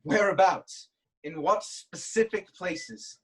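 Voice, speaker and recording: A woman playing a proud, stubborn king of ancient Thebes, declaiming deliberately in a theatrical reading. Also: fairly low pitch, breathy edge. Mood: questioning. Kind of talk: theatrical staged reading of Greek tragedy.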